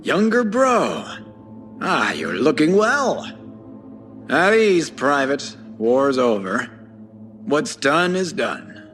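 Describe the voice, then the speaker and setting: A man's voice: on the high side, very animated, slow pace, long pauses, fairly bright tone, soft background music, full character voice. An actor playing a genial but commanding military man, an older brother, with clipped authority in an audio drama.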